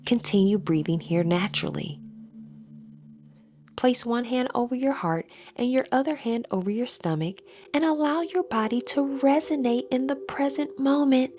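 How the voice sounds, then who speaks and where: A woman leading a scripted guided meditation as an instructor, soothing and measured, with phrases that trail into breathiness. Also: fairly high pitch, darkish tone.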